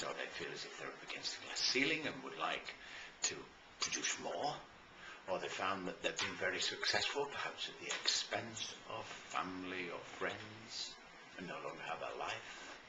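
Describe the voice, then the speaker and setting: A British man speaking as a coach promoting his services in an informal, enthusiastic and emphatic talk with deliberate pauses, recorded in a roomy space. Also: low-pitched, expressive. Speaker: life and business coach promoting own services. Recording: roomy echo, faint background noise.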